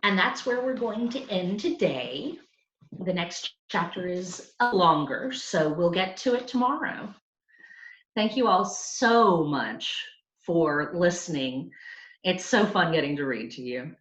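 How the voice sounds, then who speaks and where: A woman reading a children's story aloud with expressive, emphatic narration. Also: fairly low pitch, slow. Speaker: storybook reader. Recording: roomy, slightly dull sound.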